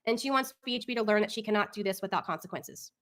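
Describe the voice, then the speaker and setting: Bright-voiced woman, exasperated online host. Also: medium pitch, lively intonation, quick pace. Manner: exasperated, opinionated. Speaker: host of a live online talk show. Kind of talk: casual legal commentary, reading documents aloud.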